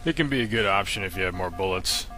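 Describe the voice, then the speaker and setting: A man's voice: deep voice, lively intonation, fast talker. An American man giving casual, matter-of-fact instructional commentary as the narrator of a video game walkthrough. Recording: voice-over with game combat noise behind.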